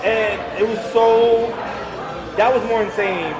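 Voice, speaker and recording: Man, podcast host, high-pitched, loose rambling stories, echoey room, noisy crowd. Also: steady tone, slow pace. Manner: rambling storyteller, cusses freely. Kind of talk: casual bar chat. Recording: roomy, loud crowd behind.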